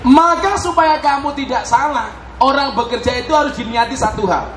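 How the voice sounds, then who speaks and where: A man's voice: very high-pitched, normal speed. A man with a Javanese accent preaching a humorous sermon to a live crowd, teasing them and mixing in Javanese slang. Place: large event hall with live audience. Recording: echoey hall, loud crowd noise.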